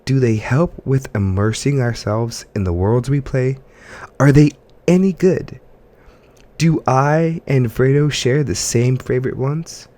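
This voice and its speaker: A man's voice: mid-range voice, measured pace, dark tone. A man hosting a casual gaming podcast, chatting while congested from allergies. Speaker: podcast host.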